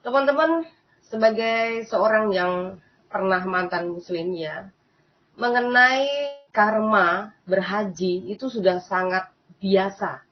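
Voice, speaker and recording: A woman's voice: medium pitch, takes it slowly, long pauses. A woman host giving casual spoken commentary, chatty and repetitive, often turning to address her listeners. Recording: dull lo-fi sound.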